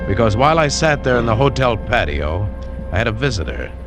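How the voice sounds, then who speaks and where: An actor playing a determined, wary adventurer who narrates and talks tersely in old radio drama style. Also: male voice, big pitch swings, a little fast, natural voice. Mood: brooding, narrating. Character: hard-boiled adventurer hero, male, determined and wary. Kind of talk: 1940s-50s radio drama acting, first-person narration and dialogue. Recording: vintage radio recording over music, train rumble.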